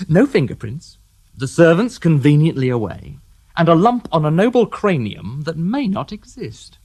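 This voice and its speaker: A man's voice: a little high, very animated, normal speed, darkish tone. An actor playing a sardonic British police inspector in a radio crime drama, dry and mock-polite in his questioning. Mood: dry, probing. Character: sardonic male detective inspector, dogged and wry.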